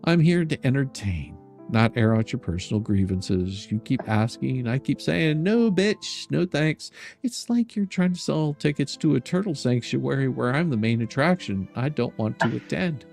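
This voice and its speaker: A man's voice: medium pitch, lively intonation, normal speed, vocal fry, darkish tone, faint music behind. An older man hosting a stream, reading a letter aloud in a sarcastic, mocking and theatrical way. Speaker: streamer and host.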